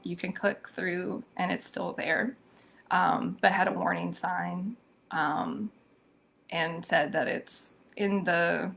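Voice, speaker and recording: Hoarse female host, slow and gravelly, thoughtful live-stream commentary with lots of ums. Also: mid-range voice. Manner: thoughtful, many ums and restarts. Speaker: social media show host. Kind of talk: live-streamed commentary on social media news.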